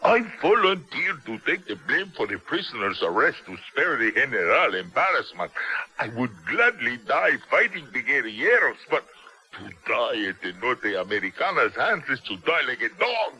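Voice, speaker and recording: A man's voice: mid-range voice, sing-song, normal speed, pushed and bright, poor audio. An actor playing a proud but servile army captain in a radio drama, formal and obsequious in his speech. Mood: frightened, pleading. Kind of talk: 1970s radio drama acting.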